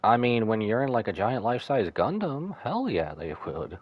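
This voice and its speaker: A man's voice: mid-range voice, very animated, moderate pace, no echo, dull audio. A man giving casual live commentary as he streams a video game.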